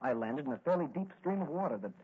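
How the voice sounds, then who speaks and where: Confident crime-fighting patrolman, hearty, slightly husky, muffled old-time radio sound. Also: a little high, animated, quick pace. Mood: confident, breezy. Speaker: lead actor in radio serial. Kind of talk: 1940s radio acting. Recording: muffled lo-fi vintage radio recording.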